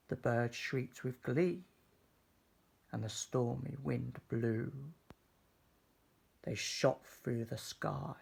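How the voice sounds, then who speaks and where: A man reading a children's story aloud in a measured, expressive, dramatic way. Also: medium pitch, creaky, husky. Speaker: storybook reader.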